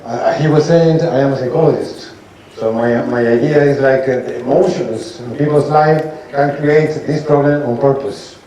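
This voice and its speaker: A man's voice: mid-range voice, dark tone. Man lecturing in an echoey hall. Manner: halting, restarts sentences, asks audience rhetorical questions. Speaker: lecturing doctor. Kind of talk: informal lecture to an audience. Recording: echoey hall, from a distance, faint noise.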